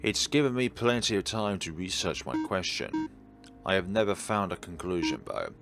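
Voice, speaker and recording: Visual novel deity voiced by a man: ancient, tired, wistful, teasing, lowish pitch with big melodic swings, soft music underneath. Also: sing-song, moderate pace, creaky, heavily acted. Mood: weary, resigned. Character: weary, ancient, wistful godlike being, gently teasing. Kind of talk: visual novel dialogue read aloud. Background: faint background game music.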